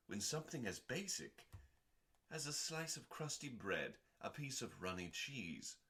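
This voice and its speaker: A man hosting a dramatic scripted reading in a deliberate, theatrical manner with pauses and dry, deadpan delivery. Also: sits low. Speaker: host.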